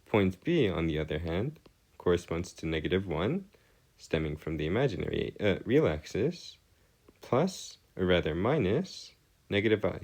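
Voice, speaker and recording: Male tutor, low register, very varied intonation, formal and halting, explaining as he works. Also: big pitch swings, darkish tone, low-quality recording. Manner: formal wording, halting, self-correcting. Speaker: math tutor. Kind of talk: improvised explanatory lesson at a digital whiteboard.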